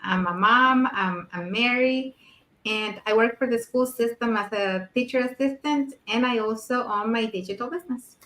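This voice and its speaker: A woman's voice: a little high, normal speed, fairly bright tone. Woman from Mexico, roomy echo. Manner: reads questions and lists. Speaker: co-host. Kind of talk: casual talk show chat.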